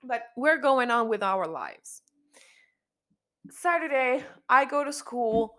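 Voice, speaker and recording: A young woman with a slightly raspy, hoarse voice hosting her podcast in casual chat, repeating herself and restarting her sentences. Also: fairly high pitch, lively intonation, takes it slowly, pushed and bright. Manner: chatty, repeats herself, frequent restarts and fillers. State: hoarse after a concert. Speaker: podcast host. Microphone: studio microphone.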